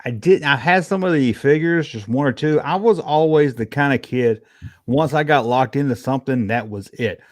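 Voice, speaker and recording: A man's voice: mid-range voice, normal speed, no echo, clean studio sound. Middle-aged man with a Southern US accent. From Georgia, US South. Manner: folksy, colloquial. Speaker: livestream guest, toy collector. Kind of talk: casual chat, answering viewer questions.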